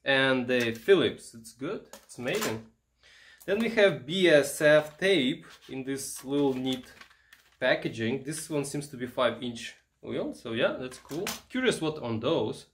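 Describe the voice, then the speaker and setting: A man giving casual, unscripted show-and-tell commentary, halting and musing aloud as he handles things. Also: mid-range voice, expressive, a bit bright, a little hiss or hum. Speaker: vintage electronics vlogger.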